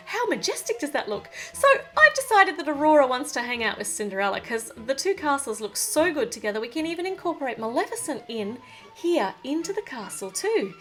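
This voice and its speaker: A woman with a high voice that rises and dips in an animated way. She narrates a toy build for children in a chatty, playful style, full of exclamations, with music behind her. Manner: talks to the viewer. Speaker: toy review presenter.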